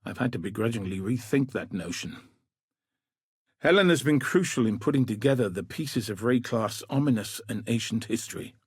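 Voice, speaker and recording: Husky, weary veteran commander. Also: male voice, medium pitch, animated, a little mellow, no echo. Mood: guarded, grim. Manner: measured, somber, dry, world-weary. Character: weary, battle-scarred veteran commander, gruff, grave and plain-spoken. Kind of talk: video game character dialogue.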